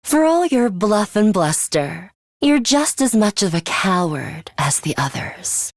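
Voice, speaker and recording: A woman's voice: medium pitch, big pitch swings, slow, no echo, studio quality. A woman voicing an aloof, scornful young female fighter with a sadistic streak in a video game, curt and condescending in manner. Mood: cold, scornful. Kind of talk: English video game voice acting.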